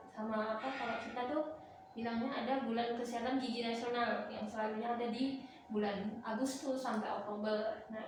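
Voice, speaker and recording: A young woman from Malaysia speaks as an interview guest in a high-pitched voice, explaining things informally. She sounds distant from the microphone in a very reverberant room. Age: young adult. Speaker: dental student guest giving advice. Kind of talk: casual interview, explaining dental health. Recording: echoey room, distant mic, clear background noise.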